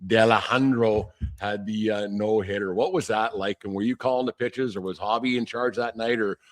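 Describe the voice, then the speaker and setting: Male sports podcast host, lowish voice, brisk and casual, rambling questions with false starts. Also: fairly even intonation, dry recording.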